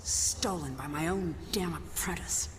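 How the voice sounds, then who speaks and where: A woman's voice: deep voice, measured pace. An actor, a woman, playing the weary, bitter ghost of an adventurer in a video game, resigned and wry. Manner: resigned, wry, dramatic delivery. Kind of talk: video game character acting. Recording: long ghostly reverb over loud background noise.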